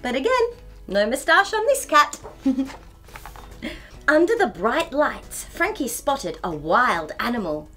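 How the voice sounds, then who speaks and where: High, bright, animated woman storytelling for children. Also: takes it slowly, faint music behind. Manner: bubbly, exaggerated expression, giggly. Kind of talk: animated picture-book read-aloud for young children. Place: small furnished indoor room.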